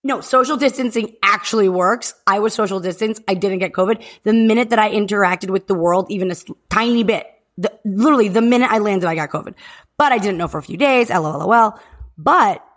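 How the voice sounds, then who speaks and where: A woman co-hosting a podcast from home, joking and riffing through casual banter with quick asides. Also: mid-range voice, a bit bright. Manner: jokey, riffing, rapid asides. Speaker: podcast co-host. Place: home in Texas.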